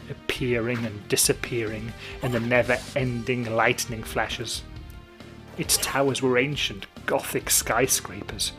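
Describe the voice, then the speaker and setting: A man narrating a story with dramatic, playful spooky storytelling. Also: mid-range voice.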